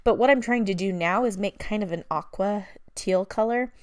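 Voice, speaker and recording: A woman, slightly hoarse from a cold, chatting casually as she narrates her crafting in a voice-over, with lots of fillers along the way. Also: medium pitch, moderate pace, dry recording, clean studio sound. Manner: rambling and chatty, with many fillers.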